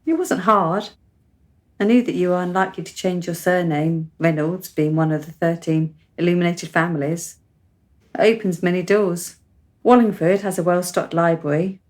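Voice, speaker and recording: A woman acts a precocious teenager in a radio play. The girl is self-possessed and articulate, stating things plainly and calmly, like someone older than her years. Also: lowish voice, normal speed, close to an everyday voice. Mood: matter-of-fact. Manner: composed, articulate, matter-of-fact.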